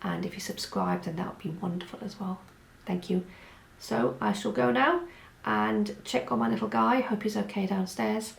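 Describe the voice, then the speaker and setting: A woman who is hoarse from a cold, vlogging in a chatty, rambling way with many asides. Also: medium pitch, moderate pace, a little hiss or hum. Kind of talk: casual chat. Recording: roomy echo with faint music.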